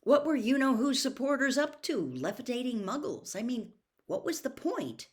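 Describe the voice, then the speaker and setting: Lady as a curious teen boy in a storybook, earnest and questioning, very animated intonation. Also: high voice, moderate pace, pitched above the performer's own voice. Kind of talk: acted storybook reading.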